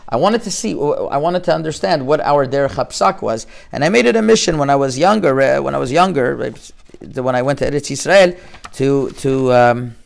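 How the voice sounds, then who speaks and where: Halting, smooth-voiced male rabbi lecturing. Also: medium pitch. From Canada. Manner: halting, many restarts, sprinkled with Hebrew terms. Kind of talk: informal Torah lecture.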